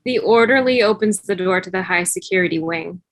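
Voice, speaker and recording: A woman narrates scene headings and action from a script. Her voice sits at a medium pitch and is recorded dry, with no room sound. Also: a little fast, fairly bright tone, no echo. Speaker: narrator reading stage directions.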